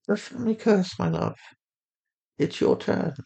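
A man acts out a tender mother of a teenage girl in an audio story. The voice sits well above a man's usual talk, soothing and loving, with a gentle sorrow. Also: sits high, unhurried, vocal fry, pitched above the performer's own voice, exaggerated voice. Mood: resigned.

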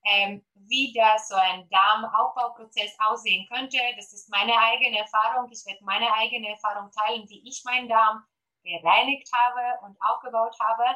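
Polish-accented woman, bright and eager. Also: fairly high pitch, fairly even intonation, normal speed, rough recording. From Poland. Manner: eager, many fillers, promotional. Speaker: guest, gut health coach. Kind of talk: podcast interview.

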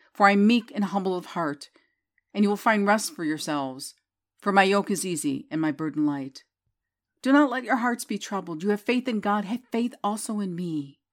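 A woman leading a guided prayer meditation, reciting scripture in a slow, soothing way. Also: medium pitch. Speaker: prayer leader.

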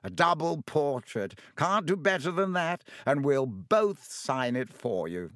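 A vain, jovial teacher booms his words slowly and grandly, pleased with himself, as a man voices him in an audiobook. He speaks higher than a plain man's voice, with a lofty, paternal air. The sound is dry and close. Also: sing-song, fairly bright tone, dry recording. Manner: booming, jovial, self-satisfied, paternal. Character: vain, jovial, pompous grown male teacher. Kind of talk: audiobook character voice.

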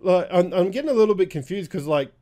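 A man co-hosting a weekly gaming show, a little raspy after being sick, chatting casually with lots of restarts. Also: high voice, animated, mellow. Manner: halting, many restarts and fillers. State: slightly raspy from recent illness. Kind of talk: casual chat.

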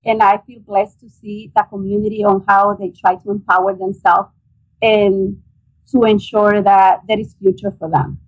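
A woman's voice: mid-range voice, little pitch movement, moderate pace, muffled recording. A woman in her forties from El Salvador with a Salvadoran accent, answering interview questions earnestly with many ums over a video call. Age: 40s. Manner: earnest, hesitant with frequent ums. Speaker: guest, nonprofit director.

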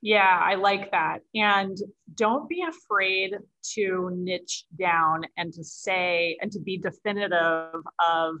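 A woman's voice: on the low side, slow pace, few pauses, sharp tone, highs cut off. A woman giving advice in a casual conversation as an expert guest, emphatic and often answering her own questions. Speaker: guest, sales author and publisher giving advice.

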